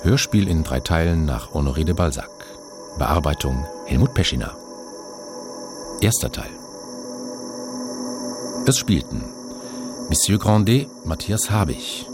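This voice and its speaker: A man's voice: extremely low pitch, lively intonation, a little slow, long pauses. A man reading scripted radio drama credits as an announcer. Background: music.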